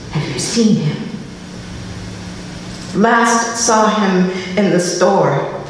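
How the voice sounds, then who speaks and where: A woman giving a live spoken-word poetry reading, with dramatic, deliberate delivery and informal asides. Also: medium pitch. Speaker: poet reading at a literary festival. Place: live public reading in a reverberant room. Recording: echoey hall, distant mic, faint noise.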